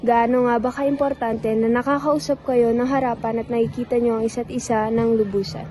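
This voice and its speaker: A woman narrating the opening of a radio drama in a soft storytelling way. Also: on the high side, little pitch movement, brisk, a little hiss or hum. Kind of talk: scripted narration.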